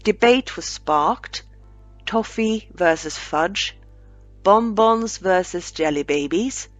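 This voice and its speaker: A woman narrating a scripted short story aloud, with deliberate phrasing and dramatic pauses. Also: mid-range voice, animated, faint music behind.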